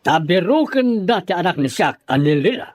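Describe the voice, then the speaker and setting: A man's voice: high-pitched, very animated, normal speed, dry recording. A man playing a hot-tempered, domineering rich master in an audio drama, scolding in exclamations. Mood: commanding, angry.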